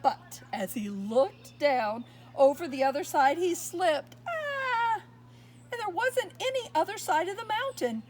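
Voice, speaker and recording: A woman with a high, bright voice reads a children's picture book aloud. Her pitch swoops up and down with expression, and she stops now and then to ask her young listeners what comes next. A faint noise sits under the recording. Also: measured pace. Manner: expressive, asks listeners questions. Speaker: storyteller.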